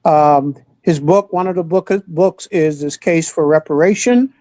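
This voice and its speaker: A woman with a hoarse voice presenting a word-of-the-day segment on a talk show, speaking deliberately and spelling words out. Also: deep voice, mellow, dry recording. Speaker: radio show co-host.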